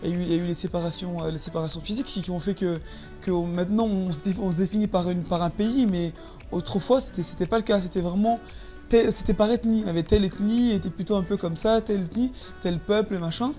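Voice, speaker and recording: A woman's voice: fairly low pitch, fairly even intonation, quick pace, warm tone, soft background music. A woman of about thirty from Paris, speaking casually as an interview guest, often repeating words as she searches for phrasing. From Paris, France.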